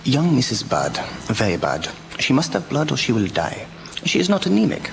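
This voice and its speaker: An actor playing a grave, urgent old professor in a radio drama, speaking emphatically in halting phrases. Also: male voice, medium pitch, wildly swooping pitch, gravelly. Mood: alarmed. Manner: halting foreign syntax, emphatic. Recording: noisy amateur local radio recording.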